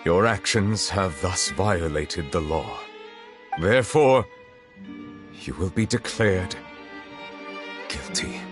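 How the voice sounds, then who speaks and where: Game casting: a grave, deep-voiced magistrate, formal and restrained, measured delivery, music underneath. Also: male voice, expressive. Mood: solemn, regretful. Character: solemn, restrained male chief judge, secretly a water dragon. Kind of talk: dramatic video game voice acting.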